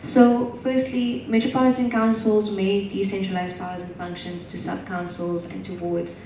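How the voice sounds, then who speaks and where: A woman student lays out a detailed question step by step from the floor of a conference. Her voice sounds distant from the microphone. It rings through a big echoing hall over steady room noise. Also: mid-range voice, normal speed. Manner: careful, detailed multi-part questioning. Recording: echoey hall, distant mic, noisy.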